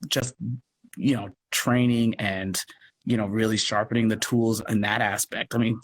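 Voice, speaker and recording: A man speaking as an interview guest in a casual, halting way, thinking aloud as he goes. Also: fairly low pitch, little pitch movement, a little hiss or hum. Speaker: guest, audio drama producer and actor.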